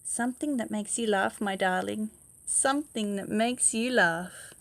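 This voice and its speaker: A kindly, wise storybook neighbour, voiced by a woman reading to children. The delivery is measured, with simple phrases repeated for emphasis. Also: mid-range voice, creaky. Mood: wise, tender.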